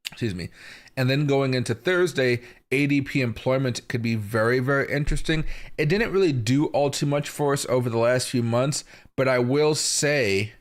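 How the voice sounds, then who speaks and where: A man hosting a live market stream, his voice hoarse from a chest infection. He talks casually and without hurry, pausing often and filling gaps with ums and uhs, at a mid pitch typical for a man. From Seattle area, US. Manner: casual, unhurried, with pauses and frequent fillers. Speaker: crypto market livestream host.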